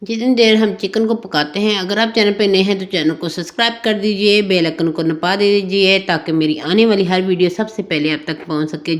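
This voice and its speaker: A woman with a Pakistani Urdu accent, a little hoarse, talking through a home cooking recipe in a homely, chatty step-by-step way. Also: lowish voice. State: slightly hoarse throat. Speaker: cooking channel host. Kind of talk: home cooking tutorial voice-over.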